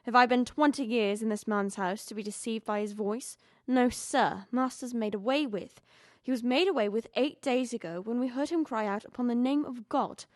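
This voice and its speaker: A woman's voice: on the high side, moderate pace, dry recording, studio quality, close to an everyday voice. A young woman playing a loyal household servant in an audio drama, earnest, deferential and agitated. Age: young adult. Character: frightened, insistent loyal female household servant.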